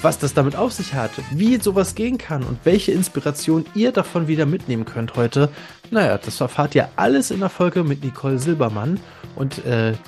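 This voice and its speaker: A man's voice: fairly high pitch, darkish tone. A man hosting a podcast in a casual, chatty interview style, addressing listeners directly in informal language. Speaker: podcast host.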